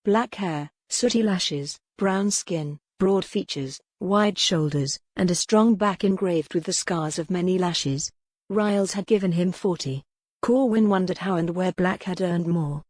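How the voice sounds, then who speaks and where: Woman, lower-pitched voice, audiobook narrator, scripted historical romance, close dry recording. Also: measured pace, a little mellow, dry recording.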